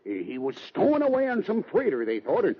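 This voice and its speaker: An excitable, none-too-bright cowboy sidekick in an old radio Western. His drawling Western American voice is raspy and jumps around in pitch. The sound is dull and muffled. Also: fast talker, full character voice. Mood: hesitant, folksy. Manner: comic, hesitant, drawling. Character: folksy, slow-witted, excitable male cowboy sidekick. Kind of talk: old-time radio drama acting.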